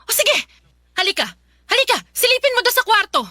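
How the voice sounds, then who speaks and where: A woman's voice: extremely high pitch, a little fast, bright, forceful tone, no room sound, studio quality. An actress playing a long-suffering, anguished mother and wife who pleads and protests in a radio drama. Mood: distressed, indignant. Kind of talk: Tagalog radio drama acting.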